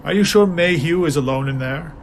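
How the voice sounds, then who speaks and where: A man's voice: a little high, fairly quick, a little hiss or hum, natural voice. A man voicing a cool-headed male police inspector who solves a killing aboard a train. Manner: calm, matter-of-fact.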